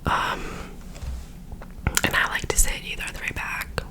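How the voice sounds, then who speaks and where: A young woman whispering a rambling story into a close microphone while doing her makeup, often correcting herself as she goes. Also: moderate pace. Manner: rambling, self-correcting, filler-heavy storytelling. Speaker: ASMR creator.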